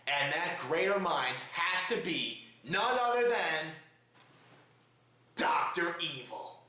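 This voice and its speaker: A man delivers mock news commentary as the host of a satirical report. His voice is bright and forceful, with a lively, theatrical rise and fall. He addresses the audience formally, and the room around him is very echoey. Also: a little high, slow pace.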